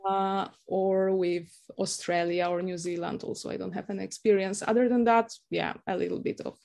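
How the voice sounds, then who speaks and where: Woman, young adult, from Poland, native Polish speaker, hesitant with many fillers, mid pitch. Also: fairly even intonation, normal speed, gravelly, darkish tone. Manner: hesitant, many fillers and restarts, laughs often. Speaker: guest, university lecturer. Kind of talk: casual interview conversation.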